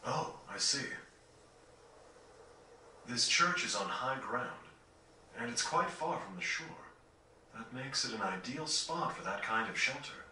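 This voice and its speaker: A man playing a polite, well-spoken, thoughtful gentleman in a video game, measured and analytical. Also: medium pitch, lively intonation. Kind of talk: video game cutscene voice acting. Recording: very echoey, slightly distant, faint noise.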